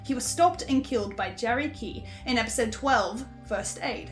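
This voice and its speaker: A woman playing a hesitant, hungry archivist in an audio drama, speaking haltingly with small stammers. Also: fairly high pitch, faint music behind, close to an everyday voice. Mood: musing, chatty. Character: hesitant, hungry marked archivist recording a podcast reaction.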